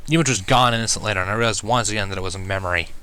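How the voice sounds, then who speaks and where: A man's voice: lowish voice, very fast, pushed and bright, clear, resonant tone. A man reading fan fiction aloud as first-person story narration.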